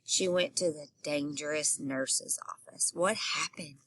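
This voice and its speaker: A woman's voice: sits low, a little slow, a bit bright, slightly rough, no room sound. A woman teacher reading a children's book aloud expressively and asking her young listeners questions.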